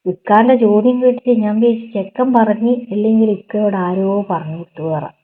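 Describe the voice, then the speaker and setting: A woman with a northern Kerala accent speaks in an intimate, conversational way. Her mid-pitched voice is husky and reaches the listener through a narrow, tinny telephone connection. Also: steady tone, brisk. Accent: Kerala Malayalam, northern. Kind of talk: casual intimate phone chat. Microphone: telephone call. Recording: tinny phone call.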